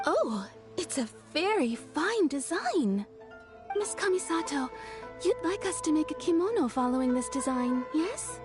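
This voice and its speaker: A woman's voice: high voice, very animated, measured pace. A woman playing a polite, deferential kimono shopkeeper in a video game, speaking formally. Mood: thoughtful. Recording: game music behind the voice.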